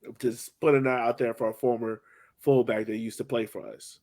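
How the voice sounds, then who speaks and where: A man's voice: mid-range voice, fairly even intonation, fairly quick, a little mellow. Man chatting casually, slangy and loose. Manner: informal, slangy, filler-heavy with frequent "like". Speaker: soccer podcast co-host. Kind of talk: casual chat, sports match recap.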